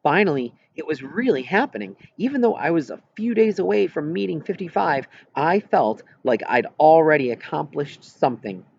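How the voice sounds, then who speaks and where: A man reading a story aloud as an audiobook narrator, with an expressive scripted delivery. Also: fairly high pitch, normal speed, creaky, hardly any pauses, lo-fi sound, slight background noise.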